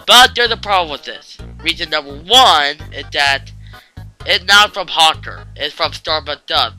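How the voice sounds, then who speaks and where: A man hosts a casual fan-theory video in a jokey, sweary way. His voice is fairly high and very bright, and he talks slowly over faint music. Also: low-quality recording. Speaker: online video host.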